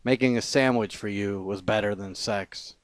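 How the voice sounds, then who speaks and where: A man with a fairly low voice gives a spoken-word poetry reading. He phrases deliberately, pausing between short lines. The sound is dry, with very little room around the voice. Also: dry recording. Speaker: poet.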